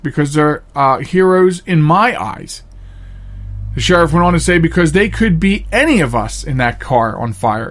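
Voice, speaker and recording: Guy doing a podcast solo, kinda higher voice, earnest and plain spoken, halting, repeats himself to make the point. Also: a bit bright. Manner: halting, with frequent repetition of phrases for emphasis. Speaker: podcast host. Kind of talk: casual unscripted podcast monologue.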